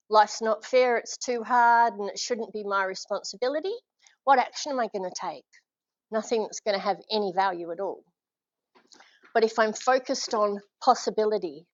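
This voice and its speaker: Lively Australian woman coaching a room. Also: mid-range voice, dry recording, dull audio. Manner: energetic, encouraging, asks the room questions. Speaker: leadership speaker. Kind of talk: live presentation to a small audience. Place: function room with an audience.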